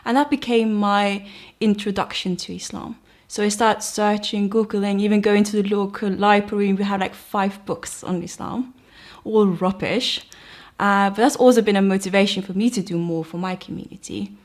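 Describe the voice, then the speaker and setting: A woman's voice: mid-range voice, fairly even intonation, moderate pace. A young woman from Denmark with a Danish accent, reflectively telling her personal conversion testimony. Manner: reflective, earnest. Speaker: convert sharing her story.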